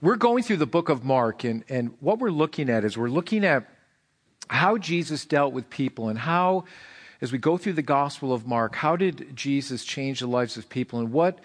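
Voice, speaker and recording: A middle-aged man, a hoarse pastor, preaching a sermon in an animated way. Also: moderate pace. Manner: animated preaching, rising in pitch for emphasis.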